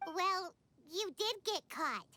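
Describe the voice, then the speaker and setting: A woman voicing a tiny, excitable, childlike fairy companion in a video game, with a squeaky voice. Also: slow pace, faint music behind, exaggerated voice. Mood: skeptical, cheeky. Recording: loud noise and clicks behind.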